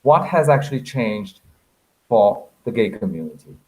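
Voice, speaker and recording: Male moderator, slightly low voice, conversational interviewing delivery. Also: very animated, normal speed, low-quality recording.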